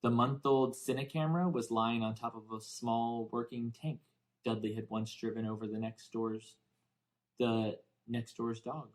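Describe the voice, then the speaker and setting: Man narrating a story, mid-pitched. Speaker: audiobook reader for English learners. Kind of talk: expressive scripted story narration.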